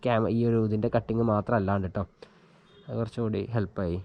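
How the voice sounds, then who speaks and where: A man's voice: lowish voice, fairly even intonation, fairly quick, muffled recording, a little hiss or hum. A man chatting casually as he gives a hands-on tutorial, thinking aloud informally while he works. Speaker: vlogger giving a hands-on tutorial. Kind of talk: casual chat while demonstrating an installation. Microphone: action camera mounted on a helmet he wears.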